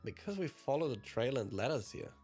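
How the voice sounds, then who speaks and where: A man's voice: medium pitch, sing-song, brisk, darkish tone, pitched above the performer's own voice, natural voice. A man voicing a polite, hesitant and anxious hero with a deferential manner in a visual novel read aloud. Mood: puzzled, hesitant. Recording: music behind the voice.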